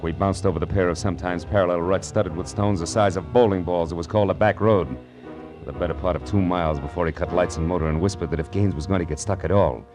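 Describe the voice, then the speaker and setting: Man playing a tough american private eye, low voice, wry and clipped, old radio crime show. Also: a little mellow, lightly acted. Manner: wry, hard-boiled, clipped. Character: tough, sardonic male private detective. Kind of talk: old-time radio detective drama, narration and dialogue.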